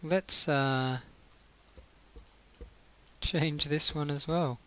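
A man's voice: on the high side, fairly even intonation, drawn-out words, pushed and bright. A man giving casual gameplay commentary as he builds, muttering to himself and thinking aloud. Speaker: gaming video creator. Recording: faint hiss and clicking.

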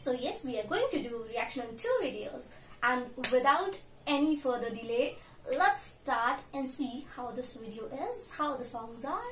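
A woman's voice: high-pitched, expressive, moderate pace, fairly bright tone, slight background noise. A young woman from India with an Indian English accent, chatting casually to camera as a reaction vlogger, self-deprecating and full of "so yes" fillers. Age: young adult. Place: small room at home, indoors. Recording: roomy home room echo, faint music.

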